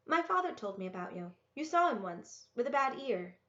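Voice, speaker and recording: A woman's voice: fairly high pitch, very animated, normal speed, fairly bright tone, pitched above the performer's own voice. A woman voicing a brave, defiant, earnest mountain girl in a storybook. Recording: roomy, echoey, dull lo-fi sound.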